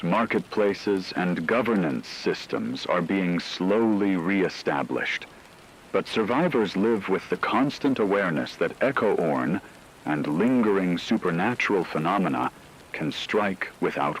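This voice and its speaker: Grave radio newsreader for an apocalypse drama, a man acting low and measured, faint noise behind. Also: low-quality recording. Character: grave male radio announcer reporting on an apocalyptic aftermath. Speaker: radio announcer. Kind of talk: scripted fictional emergency radio broadcast narration.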